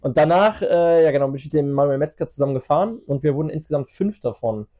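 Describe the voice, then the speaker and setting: A man's voice: a little high, expressive, fast, warm tone. A Belgian man as a podcast guest, telling stories in a rambling casual way with many fillers. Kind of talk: casual chat.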